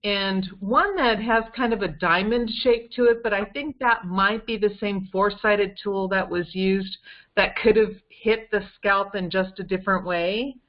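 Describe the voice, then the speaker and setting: A woman's voice: medium pitch, a little slow, dull audio. A woman on a conference call explaining details conversationally, with an emphatic, insistent manner. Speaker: guest on a case-discussion call.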